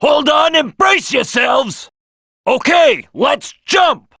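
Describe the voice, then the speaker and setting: Big cocky croc game character, bright punchy voice, swoops all over, loud and boastful. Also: male voice, very high voice, very animated, creaky, no echo, studio quality, exaggerated voice. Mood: excited, rallying. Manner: loud, swaggering, boastful, drawn-out delivery. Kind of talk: exaggerated cartoon game voice lines.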